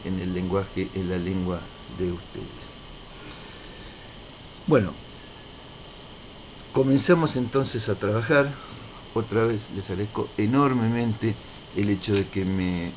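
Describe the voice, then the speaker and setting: A man lecturing in a deliberate, didactic way, repeating key phrases for emphasis as he presents prepared material. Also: fairly low pitch, noisy background. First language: Spanish. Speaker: university lecturer.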